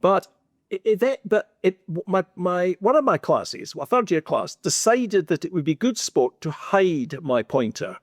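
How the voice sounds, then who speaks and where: A man's voice: sits high, a little slow, no room sound, studio quality. A man with a Scottish accent co-hosting a podcast, telling wry stories from his teaching days in a casual chat. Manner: animated, wry, teasing. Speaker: podcast co-host, former teacher.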